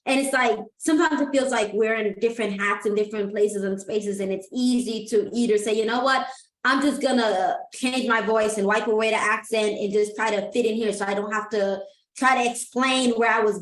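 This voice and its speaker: A woman's voice: on the high side, steady tone, moderate pace. A woman hosting an interview, chatty and enthusiastic as she asks her questions. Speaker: host. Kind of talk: casual interview. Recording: roomy echo.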